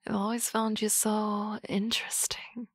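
A woman playing a teasing, confident office boss in a soft, close, whispered ASMR roleplay. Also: mid-range voice, flat delivery, measured pace, husky, no room sound.

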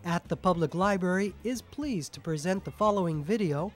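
Male program narrator, higher register, scripted read, light music underneath. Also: moderate pace.

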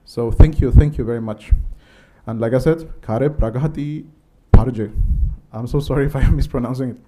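A man in his thirties from Guatemala, a native Spanish speaker with a Guatemalan accent, giving a conference lecture in a conversational, often pausing way into a handheld microphone in an auditorium. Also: mid-range voice, dark tone. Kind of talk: public lecture.

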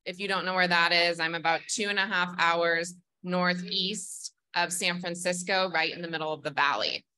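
Bright young Californian woman panelist. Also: fairly low pitch, fairly even intonation, normal speed, clear, resonant tone, low-quality recording. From Northern California. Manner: friendly, explanatory, many ums. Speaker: farmer panelist. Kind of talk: conference panel talk. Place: conference room with audience.

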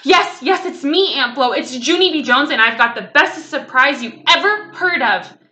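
A woman voicing an excitable, bossy little girl in a children's storybook, gushing and emphatic with childish grammar. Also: fairly high pitch, a little slow. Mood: thrilled. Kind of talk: children's storybook read-aloud.

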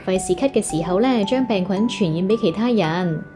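A woman voicing a caring, instructive mother in a children's story, gently admonishing, with a Hong Kong Cantonese accent. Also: medium pitch, fairly quick, over music, close to an everyday voice. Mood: concerned.

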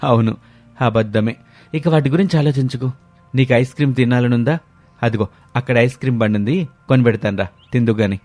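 A man's voice: medium pitch, brisk, long pauses, no echo, faint music behind, close to an everyday voice. A man playing a caring, placating young Telugu husband and dutiful son. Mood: affectionate. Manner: gentle, placating.

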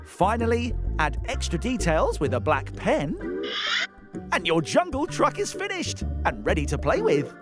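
Man, thirties, children's TV craft host, extremely animated, exaggerated delivery, unhurried pace, prominent music behind. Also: high voice. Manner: exaggerated, excitable, enunciating for young children. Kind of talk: scripted children's TV presenting and craft instruction. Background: children's show music.

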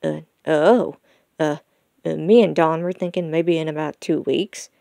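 A woman's voice: sits low, measured pace, gravelly, warm tone, dry recording, studio quality. A woman voicing a grown male wolf, a dutiful and mildly exasperated son, in an audiobook story. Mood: flustered. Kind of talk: audiobook character dialogue.

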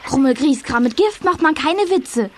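A woman's voice: high-pitched, lively intonation, moderate pace, a little hiss or hum. A woman playing a brave young girl heroine in a children's audio play, emphatic and accusing.

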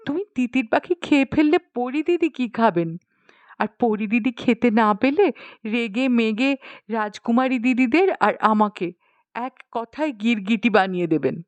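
Lady doing a timid earnest young girl cook for a story, highish voice, anxious, dry recording. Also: animated, unhurried, no room sound. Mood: timid, pleading. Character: naive, timid, tender-hearted young woman cook. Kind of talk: storybook audiobook character voice.

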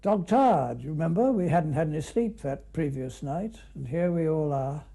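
A man's voice: fairly high pitch, expressive, normal speed, vocal fry, mellow. An older man with a British accent reminiscing in an unscripted, conversational film commentary, with clipped musing asides. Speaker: canoeist recalling an expedition.

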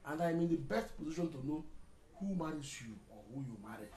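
A man's voice: on the high side, fast talker, far from the mic, lightly acted. An actor playing a commanding village father, scolding his son and quoting proverbs. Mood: stern. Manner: lively swings, commanding, uses proverbs. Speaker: actor in a comedy skit. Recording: roomy sound with music behind.

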